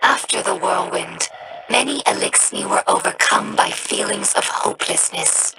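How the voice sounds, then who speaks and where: An actress voicing a young female alien scholar in a video game, earnest and reflective, with a breathy rasp and a slow, solemn delivery. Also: on the low side, animated, heavy background noise, lightly acted. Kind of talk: game character dialogue.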